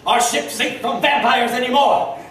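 An actor playing a gruff, exasperated newspaper editor with an emphatic, sarcastic manner in a steampunk audio drama. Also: male voice, very high voice, fairly even intonation, moderate pace, a bit bright. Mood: urgent, intrigued. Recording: roomy, distant, faint background noise.

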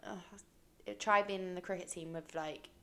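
A woman's voice: on the low side, fairly even intonation, moderate pace, fairly bright tone. A woman, co-hosting a podcast in casual, giggly, teasing chat. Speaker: podcast co-host.

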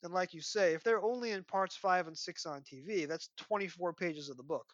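A man talks as a podcast host in a slightly high register. He is conversational and analytical, eager about the finer details. The recording is very dry and a little dull. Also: a little fast, no echo. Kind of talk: conversational book discussion podcast.

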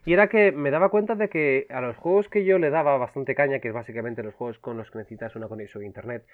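A man's voice: mid-range voice, fast. A man from the Canary Islands with a hybrid Canarian and peninsular Spanish accent, chatting casually and colloquially as a podcast guest through a thin, phone-like connection. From Canary Islands, Spain. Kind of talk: casual chat, colloquial slang. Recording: thin phone-like band-limited sound.

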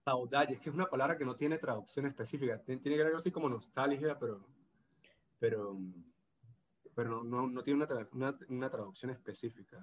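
A man's voice: mid-range voice, fairly quick. A man in his thirties from Venezuela with an Andean gocho accent, a guest talking casually and hesitantly, with many restarts. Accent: Venezuelan Andean (gocho) Spanish. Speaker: interview guest. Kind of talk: casual video interview.

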